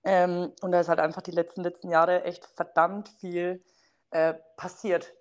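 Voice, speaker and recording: A woman's voice: on the low side, flat delivery, normal speed. A woman in her thirties from near Bamberg with a Franconian accent, chatting enthusiastically as a podcast guest, with lots of fillers. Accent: Franconian German. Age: 30s. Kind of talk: casual interview chat.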